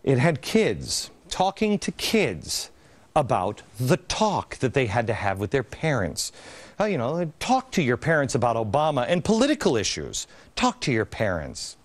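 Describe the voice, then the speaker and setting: A man's voice: mid-range voice, wildly swooping pitch, normal speed. A man in his forties hosting an opinion TV show in a large studio, talking in an indignant, sarcastic, theatrical way. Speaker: TV host. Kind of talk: opinion TV commentary. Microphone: studio mic, standing at camera.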